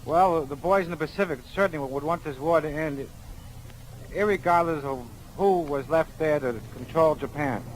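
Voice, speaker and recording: A young man, a soldier, giving measured, earnest answers in a 1940s street radio interview. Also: fairly high pitch, slight background noise, low-quality recording. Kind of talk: unscripted vox-pop answers. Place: outdoors on a busy downtown street. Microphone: broadcast street microphone.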